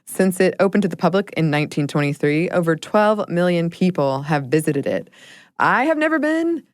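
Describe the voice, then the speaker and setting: A woman co-hosting a podcast in casual chat, laughing often and sometimes dropping to a low murmur. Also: lively intonation, normal speed, no echo, studio quality. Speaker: podcast co-host.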